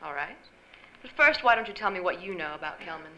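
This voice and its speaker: Casting: a rich, poised femme fatale, guarded and quick-spoken, low-ish pitch, radio noir. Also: female voice, animated, sharp tone, muffled recording. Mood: cool, guarded. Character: sultry, guarded wealthy married woman, femme fatale. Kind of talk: old-time radio noir drama acting. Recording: live stage recording with faint noise.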